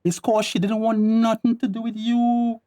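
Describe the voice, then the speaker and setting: A man's voice: extremely high pitch, flat delivery, moderate pace, warm tone. Radio drama role: touchy, combative Tobagonian common-law husband played by a man, defensive and indignant. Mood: furious. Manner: combative, Creole phrasing.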